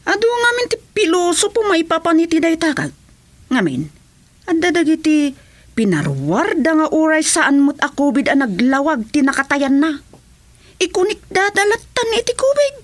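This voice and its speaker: Casting: actress as indignant, gossipy barrio councillor, high-pitched and highly expressive, radio serial comedy. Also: female voice, moderate pace. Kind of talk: radio comedy-drama dialogue in Ilocano.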